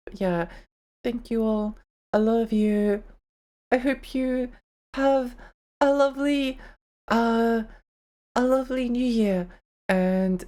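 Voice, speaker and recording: A woman's voice: on the high side, a little mellow. A young woman streamer chatting casually on a live stream, her voice tired and strained, rambling and giggling as she talks.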